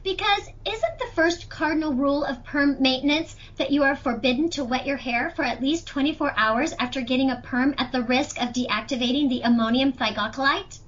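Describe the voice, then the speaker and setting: High-voiced young lady lawyer played by a woman. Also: fairly quick, hardly any pauses, fairly bright tone. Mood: incisive, accusing. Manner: pointed, theatrical questioning. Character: young female defense attorney, chatty then incisive, in courtroom. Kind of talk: amateur audio drama courtroom acting. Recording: dull lo-fi audio.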